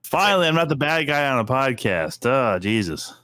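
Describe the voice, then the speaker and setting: This man speaks at an average pitch with lively swings in intonation. He co-hosts in casual banter. His short asides are grumbling and sweary. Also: normal speed, no room sound, clean studio sound. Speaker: podcast co-host.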